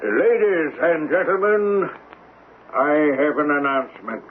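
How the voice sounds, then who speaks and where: A man's voice: on the high side, lively intonation, takes it slowly, phone-quality sound. An actor playing a fussy, pompous but indulgent father with a formal manner, in old-time radio drama style. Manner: formal, fussy, throat-clearing. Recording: thin, noisy vintage radio recording.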